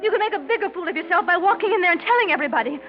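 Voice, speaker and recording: An actress playing a haughty, exasperated young fiancée in 1940s radio drama style, scolding and indignant. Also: female voice, very high voice, expressive, rapid-fire. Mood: indignant, scornful. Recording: dull 1940s radio recording. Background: music and party crowd.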